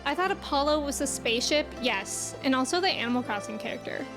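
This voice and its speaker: A woman's voice: sits high, animated, fast, over music. A woman game streamer chatting casually with her viewers in a playful, teasing way. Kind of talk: casual livestream chat.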